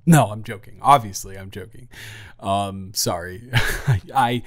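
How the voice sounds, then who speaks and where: A man's voice: on the low side, measured pace, no room sound, pitched below the performer's own voice, close to an everyday voice. A man playing a flirty, joking office boss in an improvised roleplay audio, stammering and interrupting himself as he teases. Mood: teasing, sheepish. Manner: rambling, stammering, self-interrupting; playful, teasing.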